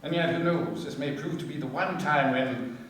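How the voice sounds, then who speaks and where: A man's voice: a little high, fairly even intonation, natural voice. Echoey, composed police inspector played by a man. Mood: measured, knowing. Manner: brisk, authoritative, measured. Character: composed, shrewd male Scotland Yard detective inspector. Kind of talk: radio play acting. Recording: very echoey, distant from microphone.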